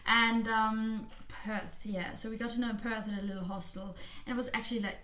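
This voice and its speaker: A woman's voice: a little high, little pitch movement, fairly quick, pushed and bright, rough recording. A young woman from Bavaria, a native German speaker with an Irish-tinged German accent, chatting casually as a podcast guest. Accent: German with an Irish lilt. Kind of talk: casual chat.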